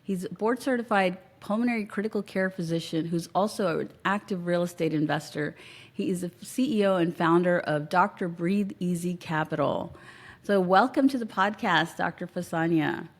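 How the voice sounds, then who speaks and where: A woman's voice: on the low side, moderate pace. A woman hosting a podcast, introducing and questioning a guest in a conversational interview style. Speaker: podcast host.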